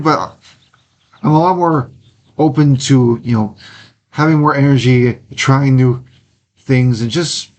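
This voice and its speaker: A young man from Wisconsin, an interview guest, talking earnestly in a halting way with many pauses and restarts. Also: mid-range voice, darkish tone. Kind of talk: casual interview talk.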